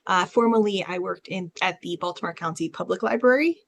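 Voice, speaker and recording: A woman's voice: lowish voice, fairly quick, creaky, slight background noise. A woman who is a librarian giving an informal presentation as a panelist on a webinar.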